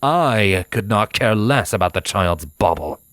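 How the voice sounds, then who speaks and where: A man voicing a cold, proud male pony assassin with a haughty, measured, formal way of speaking. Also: on the low side, lively intonation, a bit bright, no echo, clean studio sound. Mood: cold, dismissive.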